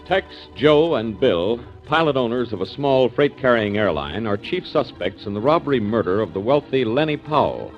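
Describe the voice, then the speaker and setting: A man announcing and recapping the story in a formal, measured way for a 1940s radio drama. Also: medium pitch, very animated. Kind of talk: scripted narration. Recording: dull old radio broadcast with organ.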